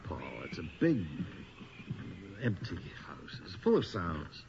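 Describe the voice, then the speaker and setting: An actor playing a middle-aged, world-weary, skeptical police detective in a radio horror drama, with a dry, sardonic delivery. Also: male voice, low-pitched, big pitch swings, slow pace, creaky, full character voice. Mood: exasperated. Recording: dull, noisy old radio recording.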